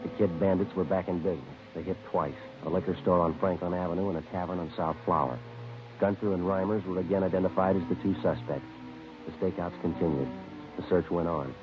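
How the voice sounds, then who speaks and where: An actor playing a terse, businesslike American police detective who narrates a radio crime drama in a clipped, deadpan way. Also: male voice, low voice, lightly acted. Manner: deadpan, matter-of-fact. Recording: dull vintage radio recording with music.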